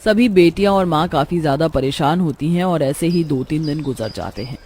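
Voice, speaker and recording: A woman's voice: sits low, lively intonation, normal speed, a little mellow, slight background noise. A woman narrating a scripted moral story as its storyteller.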